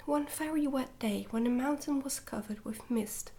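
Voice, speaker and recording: Soft whispery lady doing asmr book reading, gentle careful storytelling, kinda higher voice. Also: moderate pace, a little hiss or hum. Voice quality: whispery, breathy, soft-spoken.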